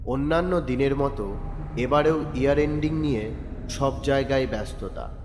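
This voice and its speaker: A man narrating a Bengali crime audio story in a steady storytelling manner. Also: mid-range voice, long reverb tail, a little hiss or hum.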